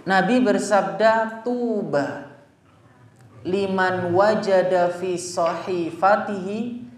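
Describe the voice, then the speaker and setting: A man's voice: high voice, fairly bright tone. A man with a Javanese Indonesian accent preaching to a gathering, addressing listeners directly with rhetorical questions. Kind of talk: religious sermon to a gathering. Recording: echoey space, faint background noise.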